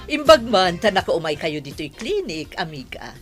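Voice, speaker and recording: A woman's voice: lowish voice, sing-song, normal speed, music in the background. A woman acting a reassuring plastic surgeon in an Ilocano radio comedy drama. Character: reassuring female plastic surgeon, a family friend.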